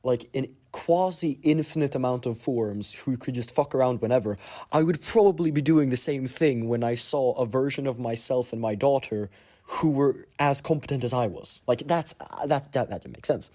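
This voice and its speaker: A man with an average-pitched voice talks off the cuff in a listener message. His commentary is casual and rambling, as if thinking aloud. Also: normal speed, warm tone.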